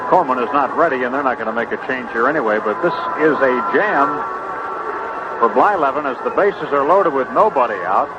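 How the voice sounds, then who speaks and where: A man's voice: mid-range voice, expressive, fairly quick. An American man calling live baseball play-by-play as an announcer. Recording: dull vintage broadcast with loud noise.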